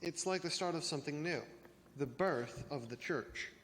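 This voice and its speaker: Casting: trivia-loving male youth, played by a man, measured know-it-all, slightly high voice, church skit with faint hall noise. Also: fairly bright tone, close to an everyday voice. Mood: bookish, measured. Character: bookish youth, a self-declared trivia champion. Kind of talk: youth church reader's theater. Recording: noisy reverberant hall.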